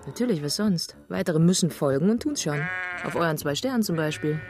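A woman's voice: low voice, lively intonation, brisk. A woman playing a blunt, sardonic composer in a German radio drama, speaking in wry, clipped, philosophizing phrases. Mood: wry, assertive. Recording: music behind the voice.

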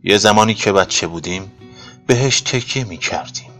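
Man, low pitch, scripted sentimental radio reading, light music bed. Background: soft music.

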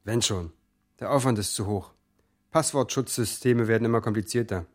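Guy acting a gruff young hacker dude, curt and dismissive, crude mouth, radio drama. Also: fairly low pitch, moderate pace, close to an everyday voice.

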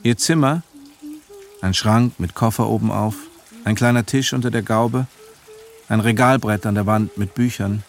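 An actor as a sober storyteller, giving measured, matter-of-fact literary narration in a radio drama. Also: male voice, fairly low pitch, lightly acted.

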